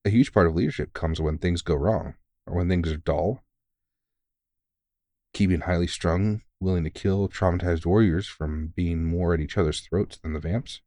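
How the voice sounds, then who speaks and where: Veteran vampire hunter played by a man for audio roleplay: very deep, close and dry, earnest, sweary, reassuring. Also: moderate pace, mellow, dry recording. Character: big, gruff but caring veteran male vampire hunter, mentoring. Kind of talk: intimate audio roleplay monologue.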